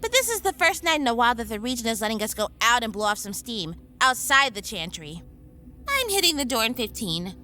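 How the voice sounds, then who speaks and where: A woman playing a brisk, teasing, easily exasperated vampire researcher in an audio drama. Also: fairly high pitch, very animated, bright, forceful tone, soft background music.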